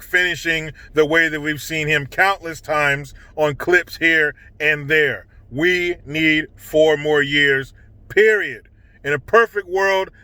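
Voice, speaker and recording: A man's voice: on the high side, bright, forceful tone, dry recording. A man hosting a vlog, giving casual, opinionated political commentary in a blunt, indignant, profane manner.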